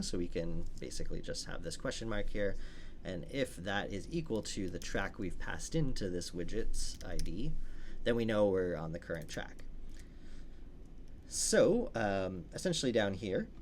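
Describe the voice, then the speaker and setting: Man, low voice, informal tutorial instructor, step-by-step explaining, faint noise and typing behind. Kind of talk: casual screen-share coding walkthrough.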